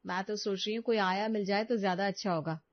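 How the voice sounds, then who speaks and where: A woman's voice: medium pitch, fairly even intonation, quick pace, dry recording, highs cut off, natural voice. A woman playing a young working daughter-in-law in a cartoon story, chatty, polite and given to complaint. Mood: exasperated.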